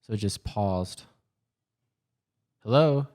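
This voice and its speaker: A man with a low voice speaks in a casual, earnest vlog style. He sounds worried and frustrated, explaining himself plainly and sometimes searching for words. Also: expressive, slow pace, darkish tone. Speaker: coffee shop owner filming a personal vlog.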